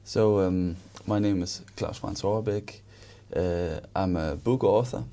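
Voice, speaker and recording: A Danish man with a Danish accent, introducing himself as an interview guest in a conversational, halting way, often repeating words. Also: low-pitched, mellow. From Denmark. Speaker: interview guest, author and engineer.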